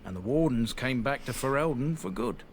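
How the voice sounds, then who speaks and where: A proud, earnest merchant from a fantasy game, acted by a man, speaks in a plain, folksy way. His voice is medium in pitch and sincere, like a storyteller standing up for his family's name. There is some ambient noise behind him. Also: lively intonation, moderate pace, close to an everyday voice. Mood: admiring, storytelling. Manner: colloquial, plain-spoken, folksy. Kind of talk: scripted fantasy game dialogue.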